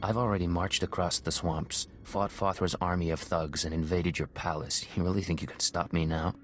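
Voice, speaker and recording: An actor playing a cool, hard-edged secret agent in a video game, dry and confident. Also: male voice, sits low, fairly even intonation, moderate pace, fairly bright tone, faint music behind.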